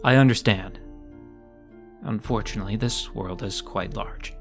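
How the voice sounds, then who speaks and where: A man's voice: lowish voice, unhurried, gravelly, fairly bright tone, faint music behind. A man playing an earnest, determined young mage in a dramatized audio story. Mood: earnest, restrained.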